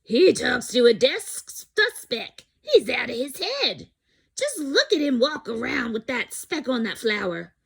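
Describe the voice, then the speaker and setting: Lady doing a gossipy jungle critter making fun, high lively voice, storybook. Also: measured pace, gravelly, husky, exaggerated voice. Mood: mocking. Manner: mocking, gossipy. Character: gossipy, mocking jungle animals spreading news.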